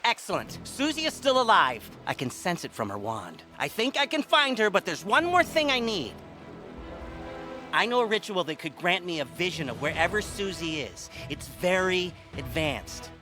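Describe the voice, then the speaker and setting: High, theatrical, self-assured wizard. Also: male voice, very animated, moderate pace, music in the background. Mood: confident, intent. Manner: condescending, dramatic. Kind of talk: fantasy game character dialogue.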